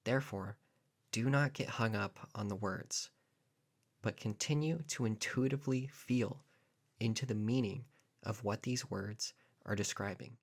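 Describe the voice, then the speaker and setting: A man with a fairly low voice leads a guided meditation. He speaks as a teacher, setting out each phrase deliberately and leaving pauses between them. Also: creaky.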